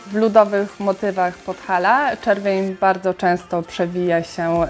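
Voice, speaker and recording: A woman with a mid-pitched voice talks through her design decisions in a calm, explanatory way, pausing on small fillers as she goes. Light music runs quietly behind her. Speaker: interior architect, guest.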